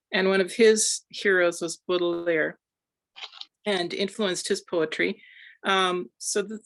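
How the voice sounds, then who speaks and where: A woman whose first language is Croatian, introducing and reading poems at a poetry event in a slow, deliberate, expressive way. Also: medium pitch. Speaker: poetry reader.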